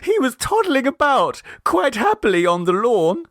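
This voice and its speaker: A man's voice: very high-pitched, big pitch swings, normal speed, fairly bright tone, no echo, studio quality, voice pushed up, full character voice. A man voicing a distraught, tearful young mother in a storybook reading, wailing and sobbing. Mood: panicked. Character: distraught, shrill, tearful young mother, upper-middle-class Edwardian.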